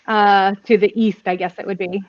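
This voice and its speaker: A woman's voice: mid-range voice, level tone, moderate pace, dull audio, a little hiss or hum. A woman chatting casually as a player in an online tabletop game. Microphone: online call microphone.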